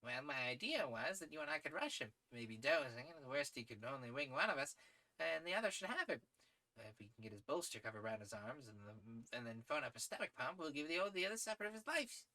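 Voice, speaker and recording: A man voicing a hearty, daring aristocratic English gentleman adventurer from a storybook, with jaunty, slangy upper-class phrasing. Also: mid-range voice, animated, a little fast, pitched above the performer's own voice, rough recording. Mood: hearty, urgent.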